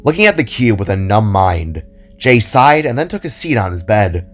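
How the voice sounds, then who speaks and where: A man's voice: lowish voice, sing-song, moderate pace, soft background music. A man narrating a scripted fantasy story expressively.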